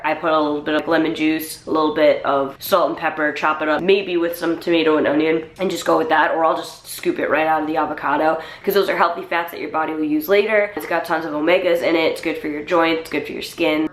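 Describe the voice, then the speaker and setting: A young woman fitness vlogger with a low-set voice, a little hoarse from a cold, answering questions bluntly and swearing casually. Her voice rings around a roomy, echoey space at home. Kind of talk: casual Q&A vlog. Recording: roomy echo.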